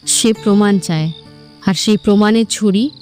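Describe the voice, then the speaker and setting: A woman's voice: medium pitch, unhurried, darkish tone, soft background music. A woman narrator reading a scripted romantic story in a dramatic storytelling style.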